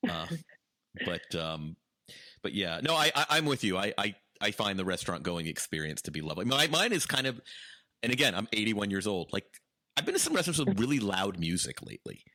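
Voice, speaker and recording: A man co-hosting a podcast, asking questions and making self-mocking jokes in a lively, animated way. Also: on the low side, fairly quick, no echo. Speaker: podcast co-host. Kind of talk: casual podcast chat.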